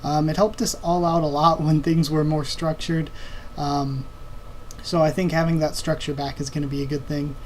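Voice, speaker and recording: A man with a fairly high voice hosts a casual question-and-answer video. He talks in an informal, halting way, thinking aloud, and his voice sounds slightly strained and tired. There is some faint noise in the recording. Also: flat delivery. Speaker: online video host. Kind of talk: casual Q&A commentary on anime and comics.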